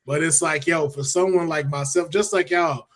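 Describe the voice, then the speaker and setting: A man hosting a casual pop culture discussion in a colloquial, emphatic, animated way. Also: a little high, moderate pace. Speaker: podcast host.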